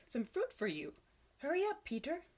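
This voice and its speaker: A woman voicing an eager, playful little boy in a storybook, excited and urging. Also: mid-range voice, unhurried, slightly rough. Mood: hurried, eager. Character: eager, playful little boys of a tiny berry folk. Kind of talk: storybook character voice.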